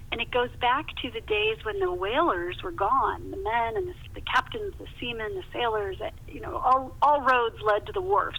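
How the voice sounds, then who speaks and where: A woman's voice: on the high side, gravelly, fairly bright tone. A woman guest telling stories conversationally in an interview, with an emphatic way of talking, repeating words for emphasis. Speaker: novelist, podcast guest. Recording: muffled phone line with hiss.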